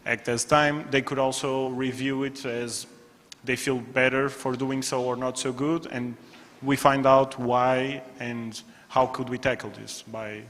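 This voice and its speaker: A man's voice: medium pitch, little pitch movement, unhurried, a bit bright. A man presenting a student project at a conference, speaking evenly with some hesitant fillers. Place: conference hall. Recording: long hall reverb.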